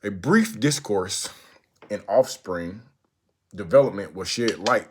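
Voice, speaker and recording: A man with a fairly low voice reads a formal speech aloud. His delivery is deliberate and oratorical, stressing phrases and leaving pauses between them. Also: animated, breathy edge. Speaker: narrator reading a historical speech aloud. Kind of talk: recited speech read aloud.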